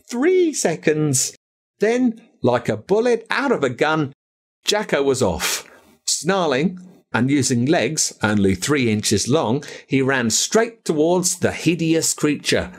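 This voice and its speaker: A man with an Australian accent reading a spooky children's story aloud in a dramatic, drawn-out way. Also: a little high, vocal fry.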